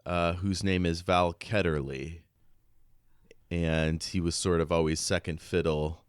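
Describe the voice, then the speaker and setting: A man with a low voice talks slowly in a casual book discussion. He is a podcast co-host who muses over his notes and poses questions, and the sound is very dry and close. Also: steady tone, smooth, no echo.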